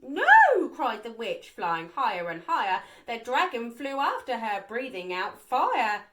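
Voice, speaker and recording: A woman reads a children's story with dramatic, rhythmic expression. Her voice is high and swoops widely, and she takes it slowly. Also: big pitch swings, bright, forceful tone. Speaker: nursery staff member reading a story.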